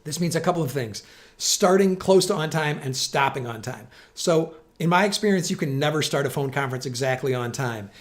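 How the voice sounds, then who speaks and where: A man's voice: fairly high pitch, animated, brisk. A middle-aged man presenting practical tips in an organized, plain-spoken explainer style, stressing his key points. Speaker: presenter giving communication tips. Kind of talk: instructional explainer to camera.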